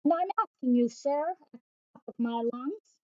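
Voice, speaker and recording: Lady doing a bossy little old mossy guy, sharp voice, high and swoopy. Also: expressive, moderate pace, no room sound. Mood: indignant. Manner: shouting and puffing, upset. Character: short old mossy male forest creature, bossy. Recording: slightly dull lo-fi audio.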